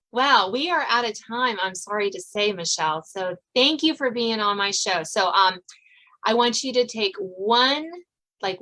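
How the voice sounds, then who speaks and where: Bright-voiced American woman hosting a podcast. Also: mid-range voice, poor audio. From Virginia, US. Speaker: podcast host. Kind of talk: casual interview chat.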